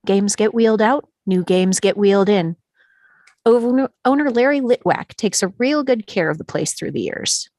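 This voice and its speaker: A woman's voice: medium pitch, normal speed, a little mellow, no echo. A woman narrating scripted stage directions at a screenplay table read.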